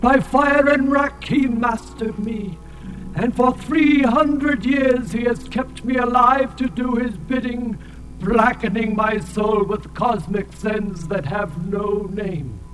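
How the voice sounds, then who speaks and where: A man's voice: very high voice, slow pace, low-quality recording. A man playing an ancient, tormented winged alien in a fantasy audio drama, speaking in grandiose, archaic laments. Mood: anguished, pleading. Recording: music laid under the voice.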